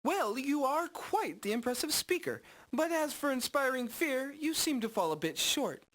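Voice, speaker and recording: A woman's voice: high voice, big pitch swings, normal speed. A woman voicing a precocious, polite young hero in a video game, with a formal, wordy, dry manner. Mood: unimpressed. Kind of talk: video game cutscene acting. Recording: game music under the voice.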